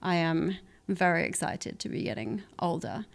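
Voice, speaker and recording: A woman's voice: on the low side, lively intonation, moderate pace, vocal fry. A woman in her thirties talking candidly as a podcast guest, reflective and chatty, often laughing. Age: 30s. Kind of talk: candid conversational interview.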